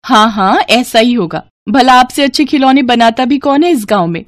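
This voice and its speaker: A woman playing a caring, devout poor village wife who sells toys, with a gentle, pleading manner in a moral-story cartoon. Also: a little high, expressive, normal speed, natural voice. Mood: reassuring.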